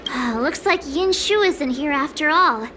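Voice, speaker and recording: A woman's voice: high voice, lively intonation, a little slow, bright, forceful tone, slight background noise, heavily acted, low-quality recording. A woman voicing a perky, cocky teenage girl detective in a video game, bubbly, dramatic and pouty. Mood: perky, curious. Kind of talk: video game character dialogue.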